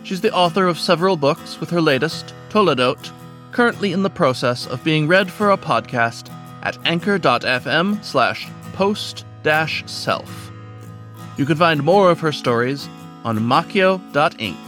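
A man's voice: on the high side, expressive, unhurried, a bit bright. A man hosting a story podcast who gives a composed, scripted introduction. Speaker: podcast host. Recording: dry studio voice over faint music. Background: faint music.

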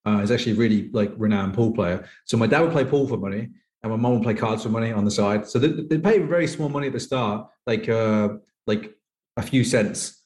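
A man's voice: fairly low pitch, a little fast, a little mellow. A man in his thirties from the UK, a poker player being interviewed who chats casually and rambles with lots of "like". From United Kingdom. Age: 30s. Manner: rambling, heavy use of "like". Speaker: professional poker player guest. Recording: echoey room.

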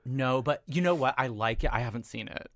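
A man's voice: mid-range voice, fairly quick, a bit bright, no room sound. A man with a nasal voice, co-hosting a comedy podcast with rambling, jokey banter and frequent laughs. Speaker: podcast co-host.

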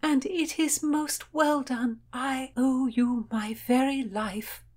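A woman's voice: high voice, steady tone, takes it slowly, a little mellow, pitched above the performer's own voice. A woman voicing an earnest, plucky young woman on the run in an audiobook, with pleading, old-fashioned formal speech. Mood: grateful. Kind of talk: audiobook character acting.

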